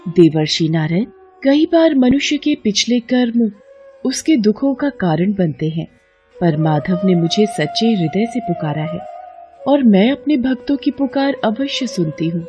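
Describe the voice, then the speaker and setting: A woman voicing a benevolent, serene mother goddess in a mythological cartoon, measured and solemn. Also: medium pitch, expressive, dark tone, highs cut off, soft background music, close to an everyday voice. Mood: solemn assurance.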